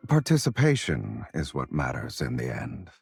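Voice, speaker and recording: An actor playing a nonchalant, teasing man in a romance game, speaking in an unhurried, suave way. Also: wildly swooping pitch, darkish tone, faint music behind, exaggerated voice. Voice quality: husky, very deep. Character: nonchalant, teasing man, protagonist's traveling companion.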